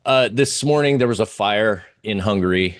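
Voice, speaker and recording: A man giving informal live market commentary as a guest analyst, halting and searching for his words. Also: fairly low pitch.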